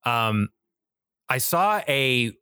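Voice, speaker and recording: A man's voice: medium pitch, big pitch swings, very slow, pushed and bright, clear, resonant tone, no room sound, studio quality. An American man in his thirties chatting casually as a podcast co-host, enthusiastic, rambling and freely profane. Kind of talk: casual chat.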